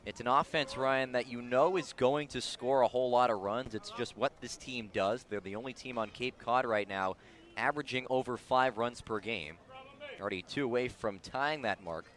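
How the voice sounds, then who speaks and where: A man delivers live baseball play-by-play for radio. His voice is bright and forceful at a middling pitch, and he describes each moment in detail, lifting his delivery as the action builds. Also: normal speed. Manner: descriptive, rising excitedly on big plays. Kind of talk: live radio sports commentary.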